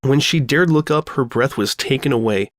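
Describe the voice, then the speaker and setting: A man's voice: medium pitch, moderate pace, no room sound, clean studio sound. A man reading a scripted comic story as narrator, telling it expressively. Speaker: fan fiction narrator.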